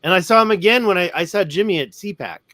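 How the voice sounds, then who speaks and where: A chatty, excitable man with a fairly high voice jumps between stories and jokey plugs for his own books. He is recorded close and dry, with no room sound. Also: quick pace, no room sound. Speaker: guest author. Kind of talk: casual chat.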